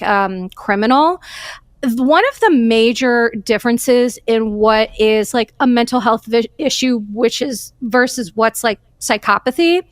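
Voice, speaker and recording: A woman's voice: on the high side, dry recording, studio quality. A woman hosting a podcast, talking in an earnest, emphatic way in casual conversation. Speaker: podcast host.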